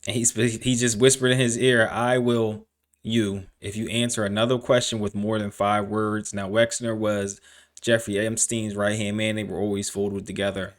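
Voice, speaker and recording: A man's voice: fairly low pitch, flat delivery, moderate pace. A man hosting a reaction video with casual, conversational commentary.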